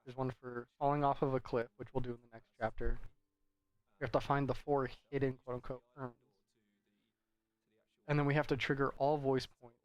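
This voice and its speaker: A man's voice: medium pitch, no room sound, lo-fi sound. A man giving casual, rambling commentary over a video game in a conversational, hedging way. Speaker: gameplay commentator.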